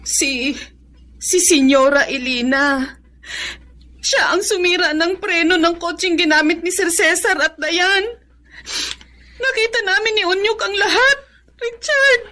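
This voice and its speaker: High-voiced, earnest Filipina housemaid. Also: female voice, measured pace, pauses often, bright, forceful tone, heavily acted. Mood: earnest, resolute. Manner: earnest, anxious. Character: loyal housemaid, plucky, sympathetic to her young mistress. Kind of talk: Filipino radio drama acting.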